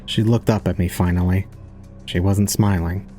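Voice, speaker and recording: A man's voice: deep voice, steady tone, moderate pace, warm tone, faint music behind, lightly acted. A man playing an aloof young man, narrating an audio drama in a formal, detached, cold way. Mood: brooding, detached.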